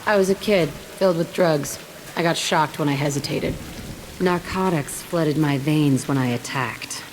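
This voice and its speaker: Tough bitter young woman, lowish voice, blunt sardonic manner, rain noise. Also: unhurried.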